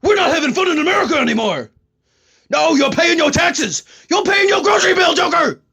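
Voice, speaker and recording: A man's voice: very high-pitched, expressive, a little fast, vocal fry, sharp tone, heavily acted. A man voicing a harried, self-important superhero in a comedy parody, scolding and pleading in indignant exasperation.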